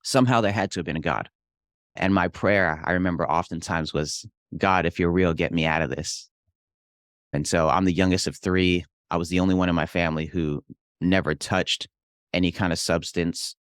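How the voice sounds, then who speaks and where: A man's voice: low-pitched, steady tone, moderate pace, clear, resonant tone, no echo, clean studio sound. A young adult man from Hawaii, a real estate investor and pastor, telling his life story in an earnest, reflective way. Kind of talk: casual interview storytelling.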